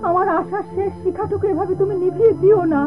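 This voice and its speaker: A distraught tearful wife, super high voice, bangladeshi accent, radio melodrama, thin old recording with music. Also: female voice, steady tone, quick pace, narrow, tinny audio. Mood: anguished, pleading. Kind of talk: Bengali radio melodrama acting.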